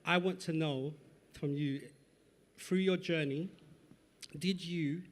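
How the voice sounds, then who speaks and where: A man moderating a panel, posing a measured, thoughtful question to the guest. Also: a little high, fairly even intonation. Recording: long reverb of a hall.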